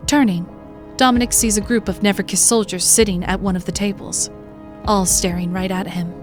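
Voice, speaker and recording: A woman's voice: medium pitch, fairly even intonation, moderate pace, natural voice. A woman narrating a scripted fantasy drama as its storyteller. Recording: music behind the voice.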